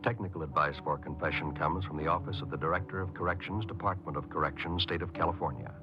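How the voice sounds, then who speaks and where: A man's voice: sits low, steady tone, fast talker. An American man reading formal, solemn, authoritative narration as a 1950s radio announcer. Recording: tinny 1950s radio, loud music behind.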